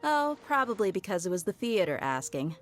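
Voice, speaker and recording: A woman's voice: mid-range voice, very animated, fairly quick, soft background music, lightly acted. A woman voicing a casual, understated, matter-of-fact traveler in a fantasy video game. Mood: wry, casual. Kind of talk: video game character acting.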